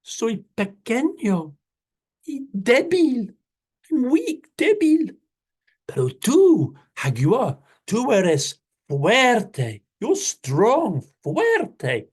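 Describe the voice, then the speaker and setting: Small sly rabbit, very high and squeaky, fast pleading chatter, put on by a storyteller. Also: wildly swooping pitch, darkish tone, slightly rough, heavily acted. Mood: wheedling. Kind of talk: bilingual children's storytelling.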